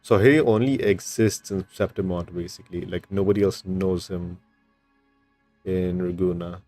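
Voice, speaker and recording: Male game streamer, deep voice, casual commentary, dry close sound, faint music behind. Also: fairly even intonation, normal speed, warm tone, dry recording.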